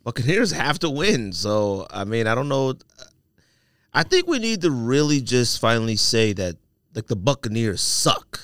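A man's voice: medium pitch, very animated, a little slow, a bit bright, dry recording, clean studio sound. A man co-hosting a sports podcast, talking casually in slangy, opinionated banter.

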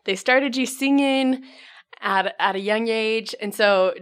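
A woman's voice: a little high, slow, clear, resonant tone. A woman hosting a podcast, interviewing in an upbeat, bubbly, friendly way. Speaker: podcast host. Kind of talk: casual interview.

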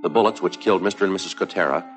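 Actor as deep-voiced deadpan police sergeant. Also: male voice, racing, natural voice. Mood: matter-of-fact, grave. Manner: deadpan, matter-of-fact. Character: terse, businesslike male police sergeant narrating his case. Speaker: lead detective and narrator. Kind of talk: clipped 1950s police procedural acting and narration. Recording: 1950s TV soundtrack with music.

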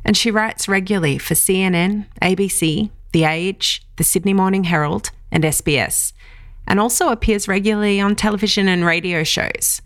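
A woman hosting a podcast, reading a measured introduction and asking questions. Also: medium pitch, no room sound, clean studio sound. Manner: measured, reads a scripted introduction. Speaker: podcast host.

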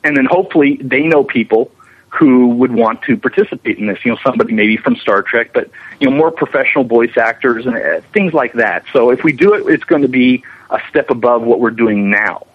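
A man's voice: medium pitch, brisk, husky. A man being interviewed as a guest, talking in a casual, explanatory and enthusiastic way, rambling on about his work. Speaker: interview guest, visual effects animator. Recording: dull lo-fi, radio-like remote line.

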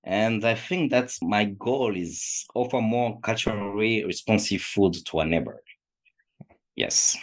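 A man's voice: fairly low pitch, measured pace. A middle-aged man from Congo, not a native English speaker, answering interview questions with many hesitations and restarts. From Democratic Republic of the Congo. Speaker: food shelf supervisor.

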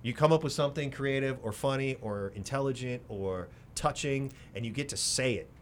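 A middle-aged American man, a radio host, talking candidly and emphatically in an interview and repeating short phrases for punch. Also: moderate pace. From raised in Singapore, later moved to the United States. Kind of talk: candid, conversational interview talk with storytelling. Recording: rumbly noise behind the voice.

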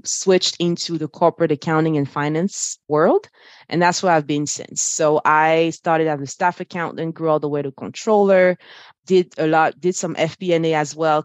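A woman's voice: sits low, no room sound. A woman from Ivory Coast, a native French speaker, talks as an interview guest. She is upbeat and chatty and laughs easily. The recording is dry and close. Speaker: interview guest, finance executive. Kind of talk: casual interview talk.

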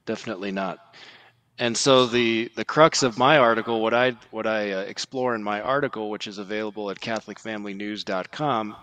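A man's voice: lowish voice, fairly bright tone. A man speaking as a podcast guest, giving methodical, articulate commentary on religion and quoting sources precisely. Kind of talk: conversational religious commentary with quotations.

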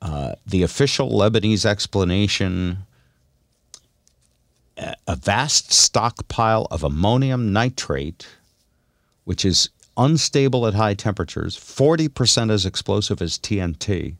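A low-pitched man hosts casual radio talk with lively swings in pitch. He banters in a wry, deadpan way, and the sound is dry and close-miked. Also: a little slow, vocal fry, no echo. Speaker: radio show host.

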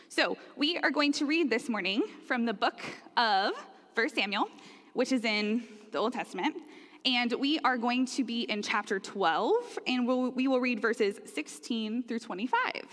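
A woman's voice: fairly high pitch, fairly bright tone. A young woman from Oklahoma, giving a friendly, conversational sermon with frequent fillers. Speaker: preacher. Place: church sanctuary. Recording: long church reverb.

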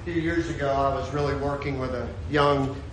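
A man preaching a sermon, his voice swinging from shouted peaks to a hushed storytelling tone. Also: mid-range voice, steady tone, brisk, roomy sound, a little hiss or hum, low-quality recording. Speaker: pastor.

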